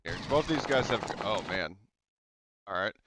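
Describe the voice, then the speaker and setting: A man's voice: fairly low pitch, animated, unhurried, noisy background. A man serving as game master of a tabletop game, patiently checking rules and rolls with his players. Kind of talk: running a tabletop game over voice chat.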